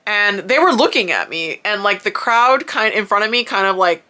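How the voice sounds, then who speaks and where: Bright, excitable young woman fan. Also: mid-range voice, moderate pace. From Florida, United States. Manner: animated, laughing, heavy use of "like". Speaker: vlogger, concert fan. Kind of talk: excited casual storytelling.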